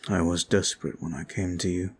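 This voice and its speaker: A man voicing a brooding male vampire who is weary and guilt-ridden, talking slowly and softly in an ASMR roleplay. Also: sits low, steady tone, slight background noise. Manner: slow, soft, confiding. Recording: faint music behind.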